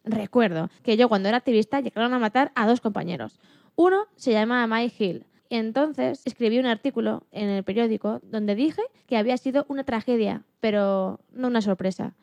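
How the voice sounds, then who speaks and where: Woman playing an earnest, higher-voiced female activist. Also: brisk, vocal fry, darkish tone, dry recording. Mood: earnest, indignant. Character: late British animal liberation activist and mother, telling her story earnestly. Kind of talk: acted radio interview in first person.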